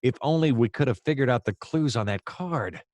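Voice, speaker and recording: An actor playing a hapless, excitable private detective in a comedic noir audio play, rambling and theatrical in his exasperation. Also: medium pitch, a little fast, no echo, natural voice. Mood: exasperated.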